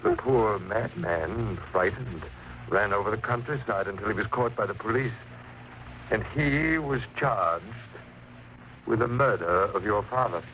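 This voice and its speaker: An actor in an old-time radio thriller playing an eerie madman poet who speaks deliberately and cryptically. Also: lowish voice, dull audio, close to an everyday voice. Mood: grave, haunted. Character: eerie slow-spoken madman poet, perhaps a ghost. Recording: band-limited, noisy vintage radio recording.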